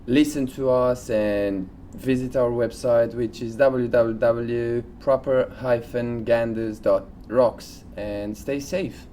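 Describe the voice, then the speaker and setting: A man co-hosting a casual podcast, speaking in loose, slightly halting phrases. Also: mid-range voice, steady tone, mellow, a little hiss or hum. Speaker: podcast co-host.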